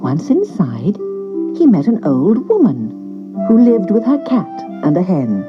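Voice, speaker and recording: A woman narrating a scripted children's story in an expressive storyteller's style. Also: lowish voice, measured pace, muffled recording, over music.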